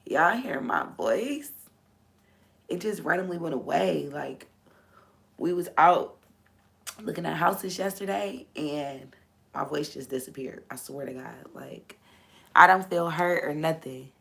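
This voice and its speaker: Hoarse woman with a gravelly low voice. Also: lively intonation, a little slow, long pauses, fairly bright tone. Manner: repetitive, emphatic, preachy. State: voice gone, hoarse. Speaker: host. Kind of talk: casual faith talk livestream.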